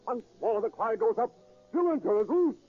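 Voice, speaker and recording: A man's voice: very high voice, big pitch swings, normal speed, over loud music. A man delivering dramatic scripted narration in the style of 1930s radio. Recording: tinny, phone-like 1930s radio recording.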